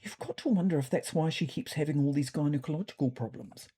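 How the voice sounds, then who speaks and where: Prim know-it-all cat, a woman putting it on, precise and practical, soft dark tone, expressive pitch. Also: fast, breathy edge. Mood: prim, informative.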